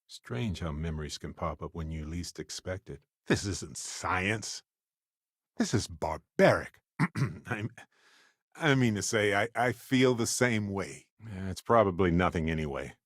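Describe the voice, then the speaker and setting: An actor playing an affable, witty, talkative space explorer in a video game, with an expressive, wry, folksy way of speaking. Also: male voice, low-pitched, measured pace, dry recording, clean studio sound, exaggerated voice. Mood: reflective, wry. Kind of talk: scripted video game character dialogue.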